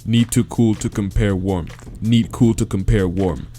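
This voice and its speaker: A man voicing a big, simple, creepy gray male monster who speaks in broken, halting English. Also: fairly low pitch, faint music behind, lightly acted. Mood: blunt. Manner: broken halting English, short flat sentences.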